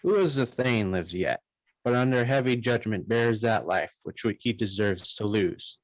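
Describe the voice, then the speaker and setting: Man as grave noble envoy, slightly low voice, formal measured Shakespearean radio acting, dry sound. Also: lively intonation, no room sound, poor audio. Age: young adult. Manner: formal, measured verse delivery. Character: grave nobleman reporting on a condemned traitor.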